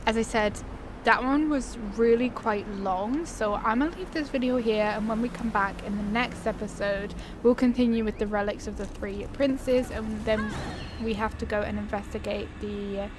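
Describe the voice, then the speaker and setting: A woman's voice: fairly high pitch, steady tone, moderate pace, background noise. A woman giving casual, playful let's play commentary as the host of a gaming video, in a chatty, informal way. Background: video game ambience.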